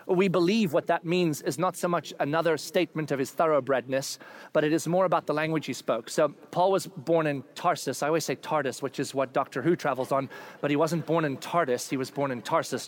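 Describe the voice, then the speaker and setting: Animated pastor preaching with playful humor. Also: male voice, mid-range voice. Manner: animated, voices quoted figures with playful humor. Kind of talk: sermon.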